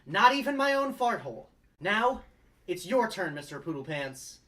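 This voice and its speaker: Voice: mid-range voice, big pitch swings, normal speed, fairly bright tone. A performer reading an earnest, pushy young male lawyer in a comedic table read. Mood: rousing.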